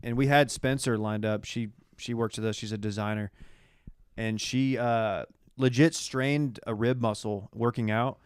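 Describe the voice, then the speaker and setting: A man's voice: medium pitch, steady tone, measured pace, clean tone, dry recording, studio quality. An American man co-hosting a podcast, chatting casually as he recaps a reality TV show. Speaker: podcast co-host. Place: small podcast studio.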